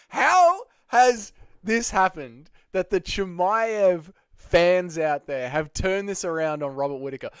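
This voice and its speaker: A young Australian man hosting a live stream, chatting with viewers in excitable, slangy, sweary banter. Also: sits high, smooth, no room sound, clean studio sound. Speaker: streamer host.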